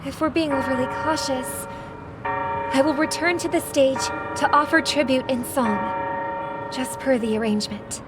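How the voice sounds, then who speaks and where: An actress playing a gentle, earnest young female singer who speaks softly and respectfully, recorded as a game dub over a loud score. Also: high voice, moderate pace, loud music behind the voice, lightly acted.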